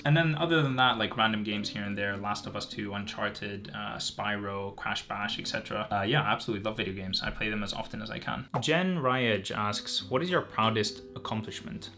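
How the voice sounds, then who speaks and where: Man in his twenties, lower voice, quick chatty delivery, home setup. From Greece. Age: 20s. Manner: quick, energetic, reads out viewer questions. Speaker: medical student YouTuber. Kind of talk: casual Q&A vlog. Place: home room or desk setup. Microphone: camera facing him at close range.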